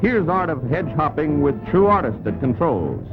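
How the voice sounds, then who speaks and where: Guy narrating old newsreel, bit high pitched, clipped showman delivery, loud music all behind him. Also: sing-song, moderate pace. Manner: clipped, article-dropping, showman-like. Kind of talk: scripted archival newsreel narration. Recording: dull old film soundtrack, loud music.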